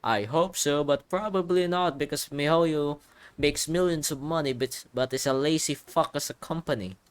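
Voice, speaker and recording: A man's voice: fairly high pitch, normal speed, clean tone. A man giving casual, sarcastic and profane commentary as he records himself playing a video game. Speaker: gamer recording a playthrough. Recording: game music behind the voice. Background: video game music.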